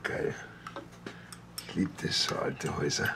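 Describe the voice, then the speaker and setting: An actor playing an easygoing, slightly dim police officer in a TV crime comedy. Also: male voice, unhurried, noisy background, natural voice. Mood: dreamy.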